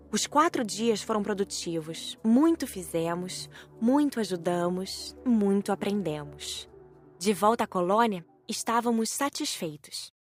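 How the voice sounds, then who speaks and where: Composed woman narrator over faint music. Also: mid-range voice. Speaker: audiobook narrator. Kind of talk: scripted first-person narration.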